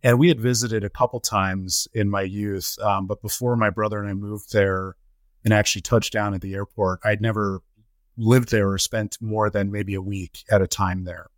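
A middle-aged man reflects on his life as an interview guest. His voice sits on the low side, and he builds his account phrase by phrase in a measured way. The sound is very dry, with no room around it. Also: flat delivery, no room sound. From United States (Colorado) and Trinidad. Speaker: interview guest, nonprofit chief executive. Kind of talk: reflective personal storytelling in an interview.

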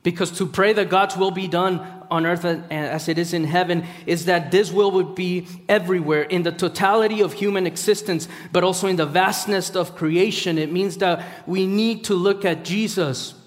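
A man in his thirties, from Costa Rica, preaching a sermon after a chatty personal introduction, with frequent fillers and restarts. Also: high voice. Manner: halting, many fillers and restarts. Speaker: guest preacher. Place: large hall. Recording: hall reverb.

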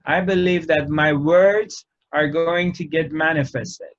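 Slow, measured man teaching spirituality. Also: fairly high pitch, fairly even intonation. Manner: slow, measured, pausing often between phrases. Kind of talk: reflective spiritual talk. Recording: dull lo-fi recording.

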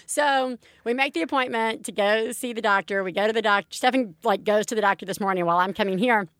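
A woman in her thirties co-hosting a podcast in a dry, close studio sound with no room around her. She talks in a loose, chatty way, laughs easily and swears without fuss. Her pitch sits in the middle range for a woman. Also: a little fast, fairly bright tone, no echo. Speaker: podcast co-host. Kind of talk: casual chat.

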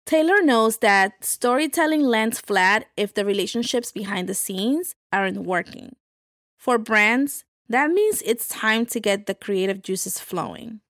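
A woman's voice: on the high side, lively intonation, measured pace, a bit bright, no echo, clean studio sound. A woman hosting a podcast, reading scripted narration that links interview clips. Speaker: podcast host.

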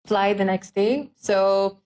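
A woman's voice: medium pitch, takes it slowly, darkish tone, rough recording. Young woman telling her story. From Dubai. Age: 20s. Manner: chatty, filler-heavy, with light laughs. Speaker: podcast guest. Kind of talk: personal storytelling in an interview.

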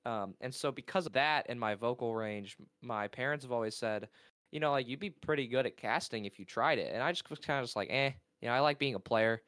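A young man with a naturally loud, resonant voice tells his story in a relaxed interview. He rambles, gets excited and loses his place. The sound is dry and close. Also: medium pitch, a little fast, no room sound. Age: young adult. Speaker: esports caster and college player. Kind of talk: casual interview talk.

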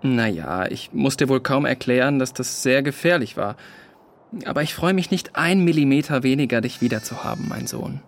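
Caring dad, mid-pitched, measured, children's radio play, noisy ambience behind. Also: male voice, expressive, gravelly. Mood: gently stern. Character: proud, gentle but serious father. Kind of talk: children's audio drama acting.

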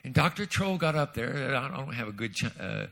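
A man preaching as a guest speaker, telling a personal story in an animated way and swinging between quiet asides and loud outbursts. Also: medium pitch, moderate pace, gravelly. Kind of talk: sermon storytelling.

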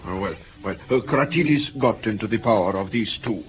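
A man's voice: fairly low pitch, animated, normal speed, close to an everyday voice. An actor playing a shaken, earnest male interpreter who tells his ordeal hesitantly in a vintage radio drama. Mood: earnest recounting. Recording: tinny, noisy vintage radio recording.

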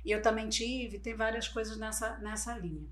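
Middle-aged woman, Spanish-native, talkative interview guest, pitched a little high. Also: moderate pace, a bit bright, slight background noise. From Brazil. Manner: chatty storyteller, often adds "né". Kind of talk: casual interview chat.

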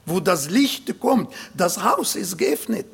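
Slow, high-voiced preacher with Arabic accent. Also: male voice, sing-song, creaky, husky. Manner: emphatic, slow, swells louder on key points; non-native German grammar. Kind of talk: sermon.

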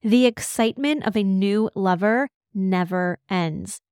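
A woman's voice: medium pitch, measured pace, darkish tone, clean tone, no room sound, studio quality. A woman hosting a podcast as a midlife change coach, reading an upbeat scripted intro and then chatting casually with guests.

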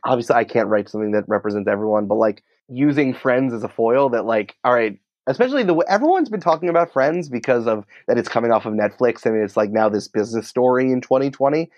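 Guy chatting in an interview, kinda muffled dry recording, excitable and rambly, says like a lot. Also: medium pitch, fast, few pauses, no room sound. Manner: informal, rambling, excitable, peppered with "like". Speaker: podcast writer and producer, interview guest. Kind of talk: casual conversational interview.

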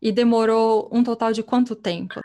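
High-voiced woman, interior São Paulo accent. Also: steady tone, normal speed, mellow. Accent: rural interior São Paulo Brazilian. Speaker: podcast co-host. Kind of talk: podcast introduction and interviewing.

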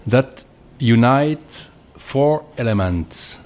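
A man with a French accent, a winemaker being interviewed, explaining things in hesitant, deliberate non-native English. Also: medium pitch, animated, a little mellow. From Alsace, France.

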